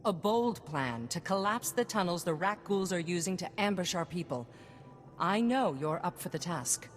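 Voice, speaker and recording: Game governor, female actor: stern, driven woman, low commanding voice, long reverb over music. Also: lively intonation, moderate pace, lightly acted. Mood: urging. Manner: firm, commanding, oratorical. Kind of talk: scripted video game acting.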